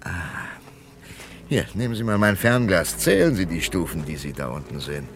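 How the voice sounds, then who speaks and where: A commanding FBI captain with a gravelly voice. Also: male voice, sits low, very animated, a little hiss or hum. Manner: commanding, brisk. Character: tough confident male FBI captain. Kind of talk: vintage radio drama acting.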